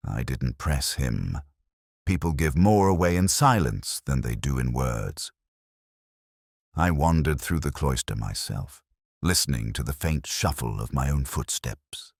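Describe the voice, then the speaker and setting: Really deep guy reading a detective story slow and thoughtful, super dry mic. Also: lively intonation, creaky, husky, dry recording. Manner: measured, reflective storytelling. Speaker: narrator. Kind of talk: scripted first-person mystery narration.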